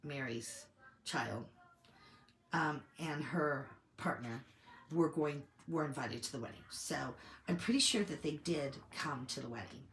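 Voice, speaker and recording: A woman with a low voice, a little hoarse from a lingering cold, talks through her opinions in a measured, thinking-aloud way. She sounds like a casual commentary host. The recording carries a roomy echo. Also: a bit bright. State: getting over a cold, slightly hoarse.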